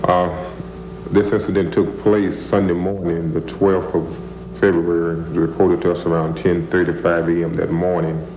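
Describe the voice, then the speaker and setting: A man's voice: low voice, flat delivery, normal speed. A man giving a formal, factual statement to the press as a police spokesman. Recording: echoey room, loud hum, old tape.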